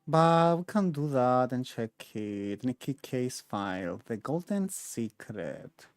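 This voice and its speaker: A man's voice: mid-range voice, sing-song, takes it slowly, no room sound. A man giving casual gaming commentary as a streamer, chatty and thinking aloud as he plays. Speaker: game streamer.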